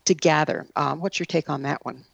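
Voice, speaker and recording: A woman's voice: deep voice, a little fast, creaky, husky, no echo, dull audio. A woman with an American accent conducting an interview in a conversational style. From United States.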